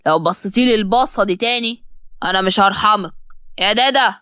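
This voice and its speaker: A woman's voice: medium pitch, a little fast, sharp tone, clear, resonant tone, dry recording, clean studio sound, voice pushed down, lightly acted. A woman voicing a cruel, sarcastic rich man who threatens and mocks in a cold, commanding manner. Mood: menacing. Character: cruel, sarcastic, threatening wealthy man, lover turned bully.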